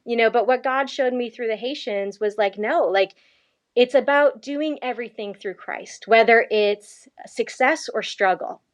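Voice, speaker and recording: A woman from Canada, a missionary guest, telling her story in an earnest, lively way and laughing often. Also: on the high side, measured pace, muffled recording. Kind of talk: conversational faith interview.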